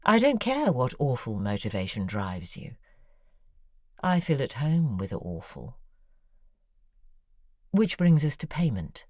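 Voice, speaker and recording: A woman's voice: rumbling low, sing-song, slow pace, pauses often, mellow, no room sound, studio quality, full character voice. An actress playing a worldly, sardonic woman novelist, talking in an intimate, confiding and drily ironic way. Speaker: novelist writing letters. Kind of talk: audio drama monologue.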